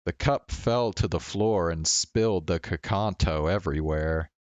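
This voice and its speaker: Deep-voiced man narrating, slow-paced. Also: no room sound. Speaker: game streamer. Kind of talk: reading visual novel narration aloud. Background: game music.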